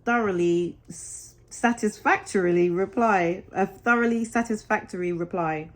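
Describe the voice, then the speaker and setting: A woman storyteller reading a children's story expressively, with deliberate, dramatic phrasing. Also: mid-range voice.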